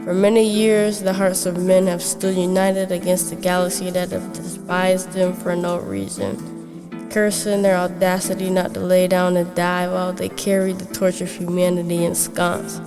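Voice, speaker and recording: A woman's voice: on the low side, little pitch movement, moderate pace. A girl in her early teens giving a scripted literary reading as a student, with guitar behind. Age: young teenager. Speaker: student reading own writing. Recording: plucked guitar music behind the voice. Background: plucked guitar music.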